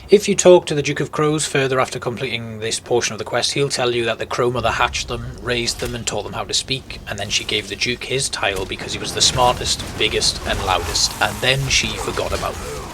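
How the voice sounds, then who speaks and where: A man's voice: mid-range voice, fairly quick. A man giving conversational, explanatory commentary as a guide through a video game. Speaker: gaming video host, guide. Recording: clear background game noise. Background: game ambience.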